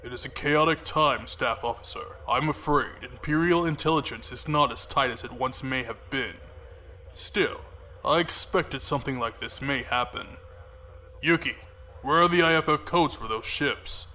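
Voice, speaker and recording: Imperial commodore, played by a man: cold, commanding male, sharp-edged delivery, space-drama setting with background noise. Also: medium pitch, close to an everyday voice. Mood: cold, composed. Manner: deliberate, commanding, formal. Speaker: fleet commander. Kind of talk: science fiction audio drama. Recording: noisy ship-like ambience behind.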